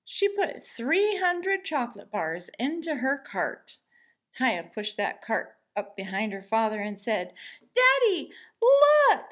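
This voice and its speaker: A woman reading a children's picture book aloud as an expressive storyteller, with deliberate emphasis. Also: a little high.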